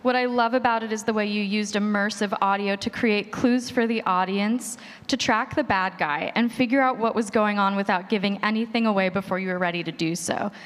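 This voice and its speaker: A woman with a mid-pitched voice moderates a panel discussion. She delivers prepared introductions and questions, and her voice rings in a large hall. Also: fairly even intonation, brisk, few pauses. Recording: long echo of a hall.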